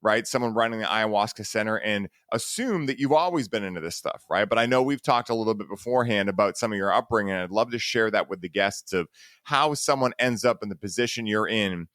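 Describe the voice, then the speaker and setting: A man with a fairly low voice hosts a casual podcast. He sounds enthusiastic and chummy, talking to his guest like a buddy. The sound is close and dry. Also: brisk, a bit bright, dry recording. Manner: enthusiastic, chummy, peppers talk with man and dude. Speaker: podcast host. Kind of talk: casual podcast interview introduction.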